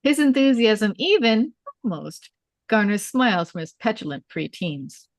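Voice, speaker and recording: A woman's voice: mid-range voice, very animated, a little slow, dry recording. A woman reading screenplay stage directions as scripted narration, in short clipped storytelling phrases.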